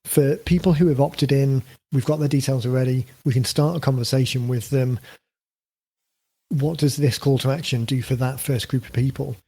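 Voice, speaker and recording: A man hosting a podcast who explains marketing ideas in a relaxed way, thinking aloud with frequent fillers and restarts. His voice is getting a little croaky. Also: medium pitch, normal speed, poor audio. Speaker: podcast host, book marketing coach. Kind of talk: casual podcast conversation.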